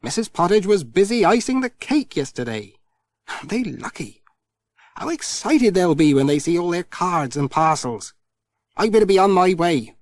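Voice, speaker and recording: Man acting a cheerful postman, animated swooping pitch. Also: moderate pace, dry recording. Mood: cheerful, hurried. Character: cheerful, kindly village postman. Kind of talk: children's audiobook character voice.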